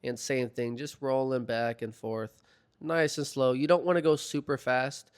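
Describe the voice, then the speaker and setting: A young man talking through a foam roller routine in a relaxed, chatty voiceover, his voice a little hoarse as he recovers from being sick. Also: mid-range voice, a little slow. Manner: relaxed, chatty, filler-heavy. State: slightly hoarse from recovering from illness. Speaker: fitness vlogger. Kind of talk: casual instructional voiceover. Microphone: voiceover mic.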